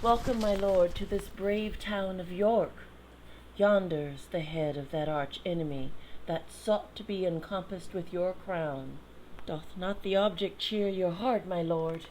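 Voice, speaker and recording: A woman's voice: fairly low pitch, a little slow, darkish tone, slight background noise, natural voice, rough recording. A woman playing a loyal, war-ready noble in a Shakespearean audio drama, declaiming encouragingly. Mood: grim welcome.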